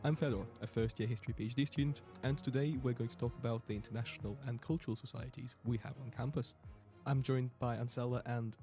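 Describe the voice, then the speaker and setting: A man's voice: medium pitch, fairly even intonation, fast, few pauses, mellow, faint music behind. A young man hosting a student podcast, reading scripted links and asking questions with some hesitant restarts. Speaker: podcast host.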